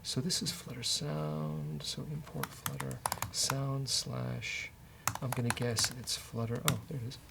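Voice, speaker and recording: A man with a creaky, gravelly voice talks through his code as he goes. He is casual and a bit hesitant, often muttering to himself. There is faint noise behind him. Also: mid-range voice, a little slow. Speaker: developer and show host. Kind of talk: casual live coding commentary, thinking aloud.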